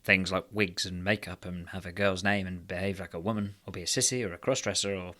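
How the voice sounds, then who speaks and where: Bright-voiced man in casual chat. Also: deep voice, fairly even intonation, a little fast. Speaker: podcast co-host. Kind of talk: casual comic podcast chat. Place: indoors on a video call.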